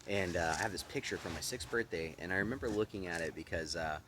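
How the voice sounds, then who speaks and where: Deep-voiced relaxed man in his thirties. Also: fast talker, background noise. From Austin, Texas. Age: 30s. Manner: relaxed, rambling, says "like" and "you know" a lot. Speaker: interviewee. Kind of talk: casual conversational storytelling.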